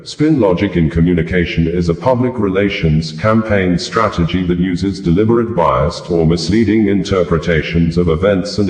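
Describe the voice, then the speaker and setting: Guy reading the news formally, really low voice, roomy echo. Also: a little mellow. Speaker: news reader, podcast host. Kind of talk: scripted news narration. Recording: roomy, echoing voice recording.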